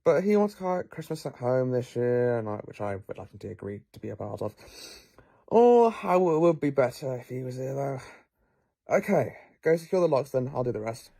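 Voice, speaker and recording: Guy playing a clever oddball time travel professor in an audio drama, creaky mellow voice, brisk and thinking out loud. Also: mid-range voice, sing-song. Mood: brisk, absent-minded. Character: eccentric, clever male time-travelling professor investigating monsters.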